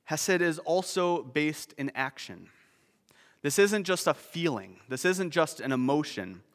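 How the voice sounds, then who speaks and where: A man's voice: fairly high pitch, expressive, measured pace, long reverb tail. A man preaching a sermon, explaining a word's meaning with nerdy, self-deprecating asides. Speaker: pastor.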